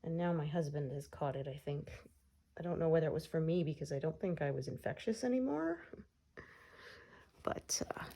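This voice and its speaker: A woman with a low voice in easygoing, rambling chat, plenty of "uh"s as she goes. She is middle-aged and still getting over a cold, so her voice sounds a touch stuffy. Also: moderate pace, long pauses. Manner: relaxed, meandering, frequent fillers. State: recovering from a cold, slightly congested. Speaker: cross-stitch vlogger. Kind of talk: casual chat while stitching.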